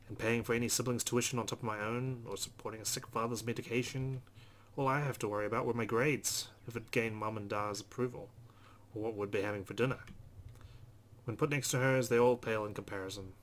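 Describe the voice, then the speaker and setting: A man's voice: mid-range voice, steady tone, brisk. A man hosting a let's play, reading visual novel narration aloud expressively with casual asides. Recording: faint background noise.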